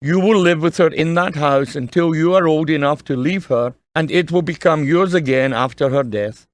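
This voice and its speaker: A man playing a kindly, earnest dying father in an audio drama, gently and solemnly advising his young son. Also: on the high side, normal speed, no echo, lightly acted. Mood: solemn, frank.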